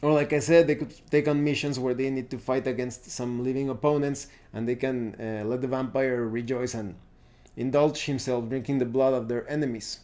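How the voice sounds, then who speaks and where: Guy hosting a gaming vlog, soft mellow tone, talks it through kinda haltingly. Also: mid-range voice, fairly even intonation. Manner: halting, thinking aloud, repeats and restarts phrases. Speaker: tabletop gaming review host. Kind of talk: unscripted explanatory talk to viewers.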